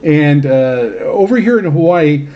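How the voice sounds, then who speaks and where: A man hosting a radio program in a casual mailbag style, speaking haltingly with very frequent hesitations. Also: a little high, animated, lo-fi sound. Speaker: radio show host.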